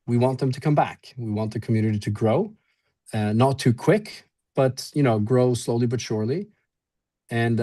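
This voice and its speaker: A man from Sweden with no clear accent, talking in a relaxed, friendly way with many fillers on a webinar panel. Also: mid-range voice. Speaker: event organizer.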